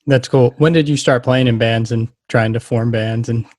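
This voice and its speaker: Male podcast interviewer, mid-pitched, casual and concise, recorded dry. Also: fairly even intonation, moderate pace, a little mellow, no echo. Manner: brief questions and short affirming replies.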